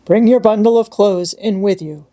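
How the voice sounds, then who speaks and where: A woman voicing a gruff but kindly old grandfather in a storybook read-aloud, terse and matter-of-fact. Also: medium pitch, moderate pace, dark tone, lightly acted. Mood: gruff, questioning.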